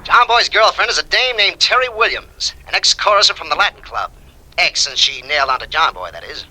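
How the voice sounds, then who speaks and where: Shifty hired gumshoe, 1950s radio crime style, slangy and wisecracking, lively pitch, rough-edged voice. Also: male voice, brisk, heavily acted, rough recording. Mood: sly, brisk. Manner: wisecracking, slangy tough-guy talk. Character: slangy, shifty, mercenary private detective. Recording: old radio recording.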